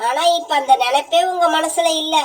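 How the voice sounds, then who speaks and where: A woman plays a grasping young wife bird in a cartoon who bosses her husband around. She scolds in a very high, piercing voice. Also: steady tone, moderate pace, close to an everyday voice. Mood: bossy. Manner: scolding, bossy. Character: greedy young wife bird, sharp-tongued.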